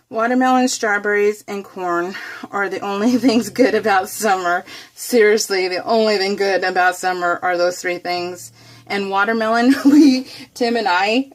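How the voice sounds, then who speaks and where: An American woman chatting casually to viewers as a craft vlogger, giggly and often laughing through her words, wandering off on tangents. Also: medium pitch. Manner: self-deprecating, wanders off topic. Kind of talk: casual, rambling chat to viewers.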